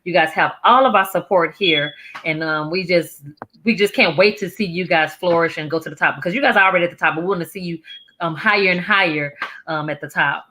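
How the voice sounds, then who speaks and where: A woman's voice: fairly low pitch, fairly quick, sharp tone, a little hiss or hum. A woman hosting a casual, upbeat talk show, speaking in an effusive, encouraging way. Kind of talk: casual upbeat interview chat.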